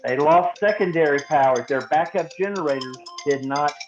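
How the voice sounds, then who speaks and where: Male trainer, slightly high-pitched, emphatic and deliberate, teaching a group; thin phone-like sound. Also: music in the background. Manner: emphatic, deliberate, with repetition for stress. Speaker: emergency radio volunteer trainer. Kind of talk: instructional talk to a group. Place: indoor room, live training session. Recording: roomy echo, phone-like narrow band.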